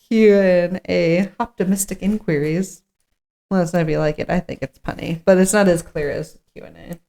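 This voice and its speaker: A woman's voice: fairly low pitch, fairly even intonation, moderate pace, vocal fry, warm tone. A woman co-hosting a podcast in casual chat, with a playful, jokey manner, sometimes reading from notes. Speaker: podcast co-host.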